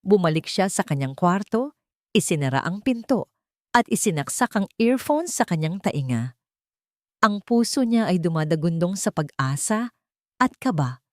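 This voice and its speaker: A woman narrating a dramatic audio story in a steady, suspenseful storytelling manner. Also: fairly low pitch, no room sound, clean studio sound.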